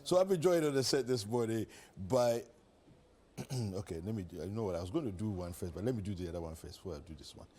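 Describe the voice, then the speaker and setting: Ghanaian guy hosting morning tv, lowish voice bit rough, keeps backtracking. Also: expressive, fast, darkish tone. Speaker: TV breakfast show host. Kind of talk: live morning show hosting. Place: TV studio set.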